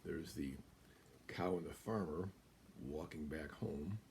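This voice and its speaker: An American man in his seventies reading a children's picture book aloud as a guest storyteller, in a deliberate, emphatic way. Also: rumbling low, a little mellow, a little hiss or hum. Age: 70s. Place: small room indoors.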